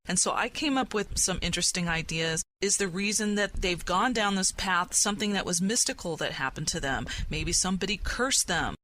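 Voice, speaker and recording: A woman's voice: fairly low pitch, sharp tone, a little hiss or hum, poor audio. A woman hosting and joining in a casual podcast panel discussion.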